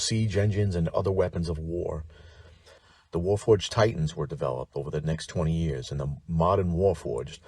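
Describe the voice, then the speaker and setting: A man's voice: deep voice, darkish tone, slightly rough, no echo. A man hosting a tabletop gaming lore show, reading scripted narration like a dramatic storyteller and slipping into wry, informal asides.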